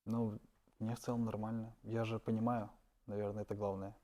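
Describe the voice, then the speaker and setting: A young man, a stand-up comedian, answering interview questions casually and letting his phrases trail off quietly. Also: fairly low pitch, flat delivery, brisk, dull audio.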